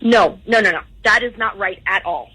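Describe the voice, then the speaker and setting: A woman's voice: medium pitch, moderate pace, sharp tone, slightly rough. A woman talking conversationally on a phone call. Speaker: caller on a radio phone segment.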